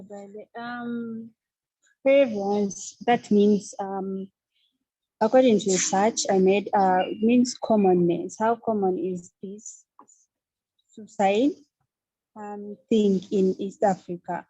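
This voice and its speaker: A young woman from Uganda with a Ugandan accent, a student speaking on a panel, hesitant with many pauses and restarts. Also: medium pitch, dark tone, husky, no room sound, low-quality recording. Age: young adult. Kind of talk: online panel discussion.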